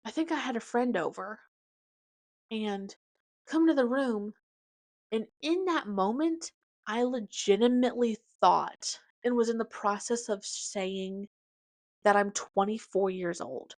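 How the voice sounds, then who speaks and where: A woman's voice: on the high side, measured pace, a little mellow, no room sound, clean studio sound. A woman in her late twenties giving a chatty, casual personal update as a podcast host, hopping between topics with slang and asides. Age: 20s.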